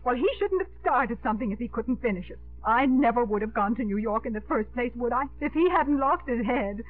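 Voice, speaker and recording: A woman's voice: a little high, animated, a little fast, faint music behind, close to an everyday voice. Actress playing a genteel woman mayor, vintage radio sound. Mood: rueful, exasperated. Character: genteel, emotional small-town woman mayor, torn over love. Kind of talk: 1950s radio acting. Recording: muffled, narrow-band 1950s radio broadcast.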